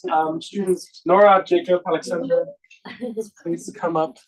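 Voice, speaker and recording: Female event host, lower register, raspy edge, casual unscripted delivery. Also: level tone, unhurried, poor audio. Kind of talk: informal live hosting.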